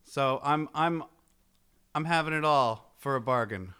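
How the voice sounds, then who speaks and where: A man's voice: fairly high pitch, unhurried, sharp tone, clean tone. A man co-hosting a podcast in casual, comedic chat. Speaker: podcast co-host.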